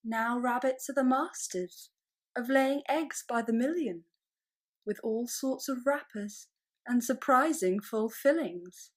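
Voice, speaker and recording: A woman reading a children's story-poem in verse, theatrical and expressive, with hushed moments and dramatic swells. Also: on the high side, slow.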